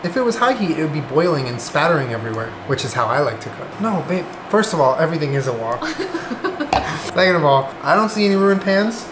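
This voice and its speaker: A man's voice: fairly high pitch, sing-song, brisk. A young man joking around as he cooks in his home kitchen, in casual, goofy banter. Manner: joking, playful, teasing. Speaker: vlogger cooking at home. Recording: roomy kitchen with music behind.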